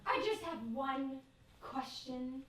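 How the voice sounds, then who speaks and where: Very high, theatrical exasperated wife. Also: female voice, expressive, fairly bright tone, a little hiss or hum, exaggerated voice. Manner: theatrical, drawn-out delivery. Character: Penny, dramatic, long-suffering exasperated wife. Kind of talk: live old-time radio comedy acting. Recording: echoey distant stage recording.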